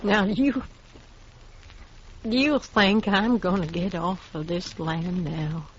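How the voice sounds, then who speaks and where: A woman playing a grief-worn, stubborn frontier widow in a 1950s radio western, speaking haltingly in plain rural words. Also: lowish voice, fairly even intonation, a little hiss or hum. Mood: defiant. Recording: muffled old 1950s radio recording.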